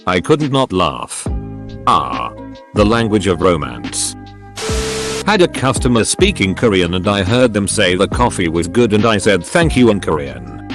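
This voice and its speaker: A man reading online posts aloud as a flat, even scripted narration. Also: lowish voice. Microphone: voice-over. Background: soft background music.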